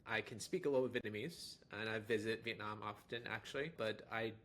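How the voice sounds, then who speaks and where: A man's voice: on the low side, a little fast, a bit bright, slight background noise. A young adult man with a General American accent, talking casually to camera and rambling through fillers and restarts. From United States. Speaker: dating vlogger. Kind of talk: casual talking-head monologue.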